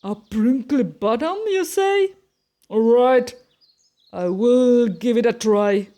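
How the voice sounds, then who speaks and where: Woman doing a slow, growly, greedy storybook beast. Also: fairly high pitch, lively intonation, dark tone. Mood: pondering. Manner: slow, drawn-out, growling delivery. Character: grumpy, hungry, gullible male beast, big monster. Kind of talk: picture-book character voice.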